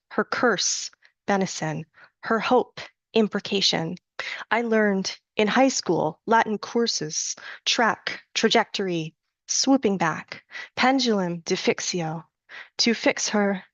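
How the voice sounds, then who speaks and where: Woman poet, deliberate rhythmic recitation, dull lo-fi sound, dry close acoustics. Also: dry recording. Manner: slows and chants the Latin and prayer lines; soft, low-key asides between poems. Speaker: poet reading her own work. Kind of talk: live poetry reading.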